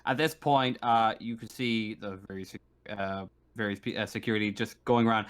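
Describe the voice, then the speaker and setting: A man's voice: fairly low pitch, steady tone, normal speed, smooth. A man improvising narration as a tabletop game master, hesitantly, often stumbling and repeating words.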